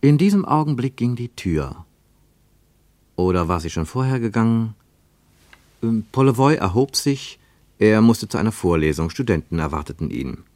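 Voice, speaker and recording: A man narrating a literary radio drama as a reflective observer, deliberate and given to rhetorical questions. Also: fairly low pitch, very animated, darkish tone, dry recording. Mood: measured, observant. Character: male narrator of a literary travel report, probing and reflective.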